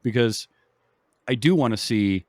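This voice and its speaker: A man hosting a casual film discussion in a conversational, measured, agreeable way. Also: mid-range voice, no echo, clean studio sound. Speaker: film podcast host.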